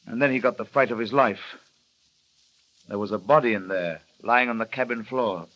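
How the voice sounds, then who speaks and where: An actor playing a dutiful middle-aged harbour master in an old radio drama, tactful and hesitant. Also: male voice, on the low side, normal speed, a bit bright, muffled recording, lightly acted. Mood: grave.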